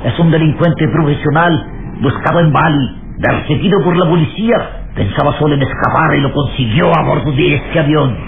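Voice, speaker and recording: A man's voice: a little high, normal speed, gravelly. An actor playing a scheming, alarmist male accuser in a radio serial, speaking insistently and dramatically on a scratchy, tinny old recording. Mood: urgent accusation.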